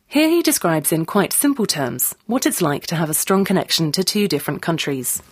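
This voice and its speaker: A woman's voice: lowish voice, big pitch swings, fairly quick, slightly rough, dry recording, clean studio sound. A woman presenter delivering scripted narration and putting questions to a guest. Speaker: presenter and interviewer.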